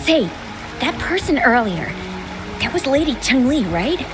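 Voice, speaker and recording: A woman's voice: sits high, sing-song, a little slow. A woman voicing an eager young travel writer in a video game, with an earnest, emphatic delivery. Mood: admiring, envious. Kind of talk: scripted video game dialogue. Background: background music.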